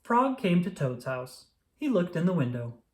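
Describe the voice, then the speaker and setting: A narrator reading a children's story aloud in an expressive, scripted read-aloud style. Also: medium pitch, unhurried.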